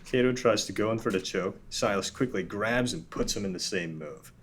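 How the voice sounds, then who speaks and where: A man's voice: fairly low pitch, normal speed, fairly bright tone. A man narrating a script at a table read, reading the stage directions plainly.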